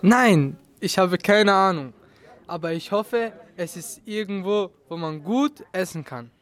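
A man's voice: high voice, lively intonation, measured pace. A young male pupil playing a grumbling young man in an amateur school radio play. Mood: unsure. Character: grumbling young man, food lover, hates Paris.

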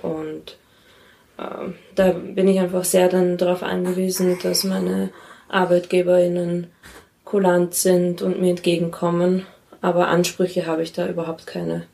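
A lower-pitched woman speaks in a reflective, candid interview style. Her intonation stays fairly flat, and a clear creak runs through her voice. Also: a little slow, a little hiss or hum. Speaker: interviewee, parent in a polyamorous family.

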